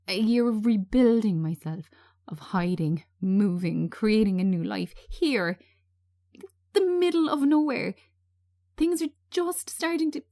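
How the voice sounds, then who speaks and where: A woman reading a script as a traumatised, anxious woman on edge, agitated and halting as she repeats herself. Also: medium pitch, lively intonation, dark tone, no room sound, clean studio sound. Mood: agitated, despairing.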